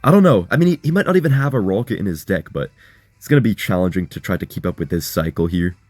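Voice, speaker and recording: A young man with a deep, hoarse voice commentates his own gameplay in a loose, chatty way. He talks straight to his viewers and gets excited at good plays. The sound is close and dry, with no room around it. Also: fast, no room sound. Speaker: gaming content creator.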